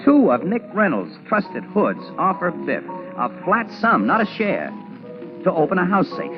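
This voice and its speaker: An actor playing a brisk, tough, wisecracking American photographer with slangy, clipped 1940s radio delivery. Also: male voice, high-pitched, wildly swooping pitch, exaggerated voice. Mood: speculative, keen. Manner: slangy, clipped, self-assured. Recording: muffled 1940s radio broadcast, music behind.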